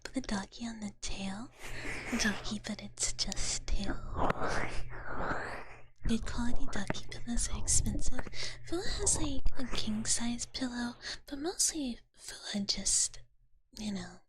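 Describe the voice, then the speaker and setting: A woman's voice: a little high, measured pace, rough recording. A woman whispering breathily and playfully close to the microphone in a casual ASMR livestream chat. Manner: playful, talks about herself in third person.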